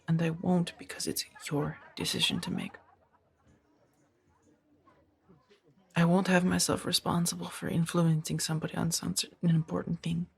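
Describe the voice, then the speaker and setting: A woman playing a gentle, earnest Jedi in a soft-spoken ASMR roleplay, speaking in a hesitant, breathy murmur. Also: fairly low pitch, heavily acted. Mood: solemn, torn. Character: gentle, earnest young female Jedi, tenderly conflicted.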